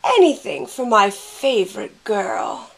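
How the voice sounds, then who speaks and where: A woman's voice: medium pitch, big pitch swings, slow, vocal fry, full character voice, poor audio. A woman playing a gushing, conniving villainess in an audio drama, with a theatrical drawl. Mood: gleefully scheming.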